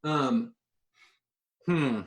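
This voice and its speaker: A man co-hosting a podcast, talking in an enthusiastic, informal way as he pitches his ideas. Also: extremely slow. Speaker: podcast co-host. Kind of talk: casual podcast chat.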